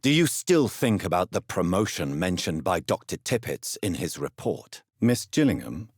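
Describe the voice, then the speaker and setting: A grave British gentleman vampire doctor for a video game. His voice is very deep and markedly husky, with expressive rises and falls. He speaks in formal, measured period phrases, courteous and earnest. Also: no room sound, studio quality, exaggerated voice. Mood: calm, inquiring. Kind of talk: dramatic game dialogue, formal period speech.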